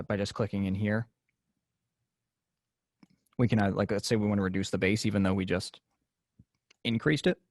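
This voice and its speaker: Man, instructor, gravelly, casual filler-heavy explaining, video call, dry sound. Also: on the low side, dry recording. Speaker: class instructor. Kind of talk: software tutorial over a video call.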